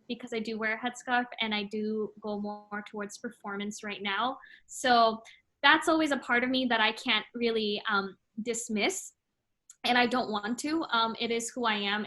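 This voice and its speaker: A woman's voice: a little high, sharp tone. A woman from Malaysia in her late twenties, answering interview questions about her art in a thoughtful, casual way, with many filler ums. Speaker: interviewed artist.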